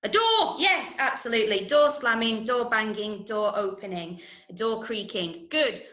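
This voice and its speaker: A woman with an English accent teaching an interactive online drama workshop, giving clear instructions and encouraging pupils as she repeats their answers. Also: a little high, animated, a little slow, sharp tone. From Manchester, UK. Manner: clear step-by-step instructions to children. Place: room, online video lesson. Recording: echoey room sound.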